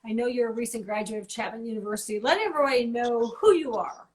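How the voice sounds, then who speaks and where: A woman's voice: on the high side, fast talker. A woman hosting a live-streamed interview show, welcoming her guest in an upbeat, casual way. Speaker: show host. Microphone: live video stream from home.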